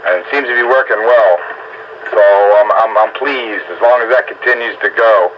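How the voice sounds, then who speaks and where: A man's voice: fairly low pitch, normal speed. A man in a casual radio chat as an amateur operator, often repeating his words for emphasis. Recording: dull lo-fi radio signal with static.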